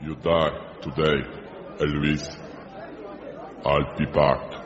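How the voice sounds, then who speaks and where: Deep, pompous, vengeful ancient spirit. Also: male voice, flat delivery, slow pace, some chatter behind. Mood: ominous. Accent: foreign. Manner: pompous, menacing, archaic formal speech. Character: vengeful centuries-old burgermeister spirit possessing a man's body. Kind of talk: comedy audio drama acting. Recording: dull, muffled, long reverb.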